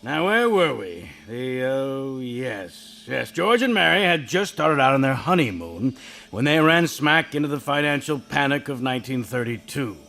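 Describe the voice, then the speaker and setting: An actor playing a calm, patient senior angel who narrates a radio play. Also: male voice, medium pitch, vocal fry. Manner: measured, storytelling.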